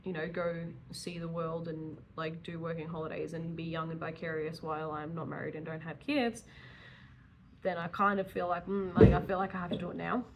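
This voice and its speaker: A woman in her early thirties with a slightly low voice chats candidly, as if to a camera. Her delivery is casual and rambling, with self-deprecating asides. There is noticeable noise behind her. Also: little pitch movement, brisk. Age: early 30s. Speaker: vlogger, self-employed dog groomer.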